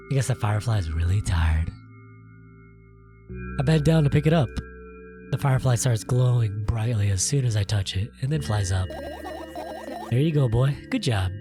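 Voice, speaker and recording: Expressive game narrator reading aloud over music. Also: medium pitch. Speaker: gaming video host reading a visual novel aloud.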